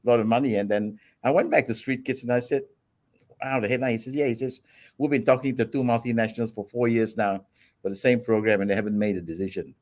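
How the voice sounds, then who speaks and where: A man in his forties from Singapore, a guest on an interview show, telling his story in a relaxed, steady way with many ums. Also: mid-range voice, quick pace. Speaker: guest entrepreneur.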